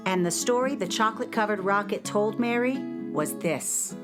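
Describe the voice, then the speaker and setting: A woman narrating a scripted children's story with an expressive storybook delivery. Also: mid-range voice, normal speed. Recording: guitar music behind the voice.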